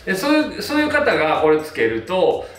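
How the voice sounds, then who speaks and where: Animated man reviewing hair products. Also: sits high, fairly quick, recorded from a distance. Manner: relaxed, many fillers, gestures with products. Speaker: hairstylist. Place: small plain indoor studio. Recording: roomy sound, mic set back, faint music.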